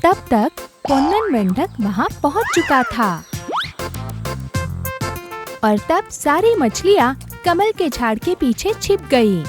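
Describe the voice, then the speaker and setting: A woman's voice: high voice, very animated, slow, pauses often, over music. A woman narrating a scripted children's animal story.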